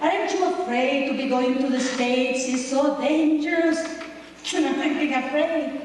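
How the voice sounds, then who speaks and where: A woman's voice: high voice, a little slow, darkish tone, slight background noise. Nicaraguan woman doing stand-up in an echoing hall. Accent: Nicaraguan Spanish. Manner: brash, teasing, confessional punchlines. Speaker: stand-up comedian. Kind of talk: stand-up comedy set. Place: large hall with live audience. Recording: distant, echoey home VHS tape of a live hall.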